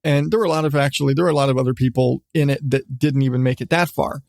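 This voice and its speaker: A middle-aged man hosting a casual solo podcast, talking in a rambling, halting way with repeated restarts. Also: mid-range voice, fairly even intonation, gravelly, darkish tone, no room sound, studio quality. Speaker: podcast host.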